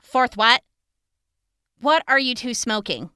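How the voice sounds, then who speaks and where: A woman's voice: on the high side, lively intonation, takes it slowly, very noisy. A woman playing a brash, sarcastic wasteland character in a radio drama. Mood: brash, incredulous.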